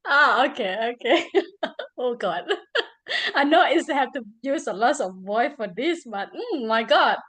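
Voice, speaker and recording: Higher-voiced Thai woman, hesitant and giggly. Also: big pitch swings, slow, a bit bright. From Thailand. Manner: giggly, hesitant, non-native grammar. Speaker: participant in a public speaking class. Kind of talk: casual, unscripted speaking in a group exercise.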